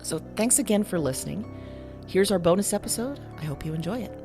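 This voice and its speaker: A woman's voice: medium pitch, lively intonation, fairly quick, music in the background. A woman podcast host talking casually to listeners, introducing shows and chatting.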